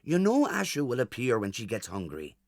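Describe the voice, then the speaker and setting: A man's voice: medium pitch, huge pitch swings, brisk, no echo, studio quality. A man acting a flustered father who tries to be firm in a children's audio drama. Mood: flustered, apologetic.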